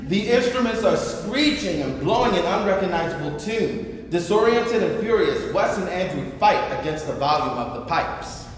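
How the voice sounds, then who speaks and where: A man's voice: high-pitched, animated, normal speed, few pauses. A man hosting a stage event, speaking to an audience with an enthusiastic, theatrical delivery. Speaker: host. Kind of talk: addressing a theater audience, then reading narration. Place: large reverberant indoor venue. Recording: echoey hall, distant, faint noise.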